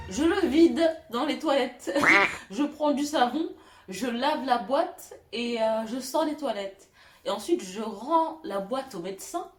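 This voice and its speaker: A young woman telling funny childhood stories to her viewers, self-mocking, giggling and pausing on fillers. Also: a little high, roomy sound. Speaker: YouTuber. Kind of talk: storytelling vlog.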